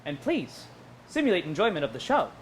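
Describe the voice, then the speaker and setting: An actor playing an emotionless, earnest android officer with stiff, precise speech in a musical comedy stage reading. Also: male voice, high-pitched, very animated, a little fast, slight background noise. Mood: formal, deadpan. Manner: stiff, formal, precise wording. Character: emotionless male android officer, earnest, formal, staging a show. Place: live staged reading at a theater festival.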